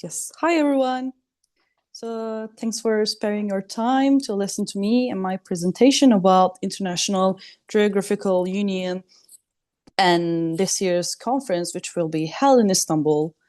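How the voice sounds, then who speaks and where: A young woman in her twenties with a Turkish accent, giving a hesitant online presentation, full of ums, in quick bursts. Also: mid-range voice, darkish tone. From Turkey. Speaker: student presenter. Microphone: video call.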